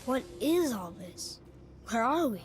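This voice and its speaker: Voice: medium pitch, big pitch swings, slow pace, slight background noise. A performer playing an eager, curious young boy in a video game, earnest and inquisitive with his father. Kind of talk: cinematic video game acting.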